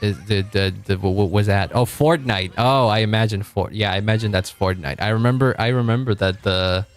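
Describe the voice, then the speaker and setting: A man from Argentina streaming casually, rambling, correcting himself and chuckling as he reads chat, with a deep voice. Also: a little fast, soft background music.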